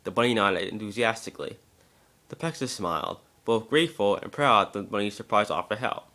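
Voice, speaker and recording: A man with a bright, forceful voice, pitched slightly low, narrates a story. It is an amateur dramatic reading with the odd stumble over a word. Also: a little fast.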